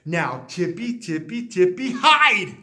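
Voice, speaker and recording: Man, children's story read-aloud, high bright voice, unhurried, expressive. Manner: expressive, drops to a hush for refrains.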